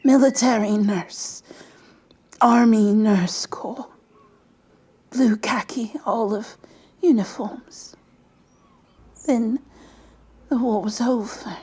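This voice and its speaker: A woman voicing a frail, wistful elderly woman who tells wartime memories in a breathy, halting ASMR storytelling whisper. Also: fairly high pitch, fairly even intonation, warm tone, heavily acted. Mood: somber, remembering. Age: old. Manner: halting, repeating words, trailing pauses.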